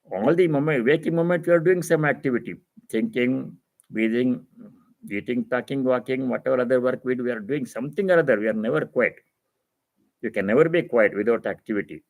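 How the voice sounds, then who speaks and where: Man giving a measured spiritual discourse. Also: mid-range voice, darkish tone, rough recording. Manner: didactic, repeats phrases for emphasis. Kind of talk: spiritual discourse, reading and explaining scripture.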